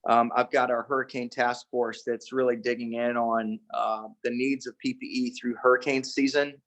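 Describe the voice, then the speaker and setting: Guy doing an official update on a conference call, pretty flat delivery, measured and matter of fact. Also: mid-range voice. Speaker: city emergency management official. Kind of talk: spoken briefing to a council meeting.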